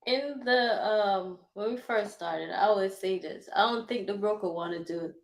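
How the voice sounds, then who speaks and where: A woman's voice: mid-range voice, moderate pace, rough recording. A woman co-hosting a casual livestream chat, giving brief, understated answers. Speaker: livestream co-host.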